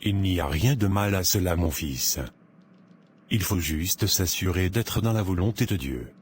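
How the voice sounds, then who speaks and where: Devout, composed family man for animation, male actor, formal and paternal, very deep, slow delivery. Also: lively intonation, a little mellow, a little hiss or hum. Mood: serious, advising. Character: calm, measured, devout father and husband. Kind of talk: animated drama dialogue. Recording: music behind the voice.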